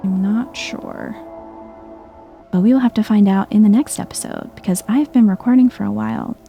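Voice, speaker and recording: A soft-spoken woman giving a chill, quiet ASMR-style commentary as she plays a video game. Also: medium pitch, moderate pace, creaky, breathy edge, soft background music. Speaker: gaming video host.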